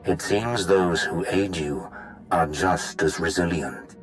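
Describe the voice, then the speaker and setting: Sci-fi game villain: commanding, menacing dark lord, very low register, unhurried ominous delivery. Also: male voice, over music, rough recording. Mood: cold, ominous. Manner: measured, ominous, formal monologue. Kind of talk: video game villain acting.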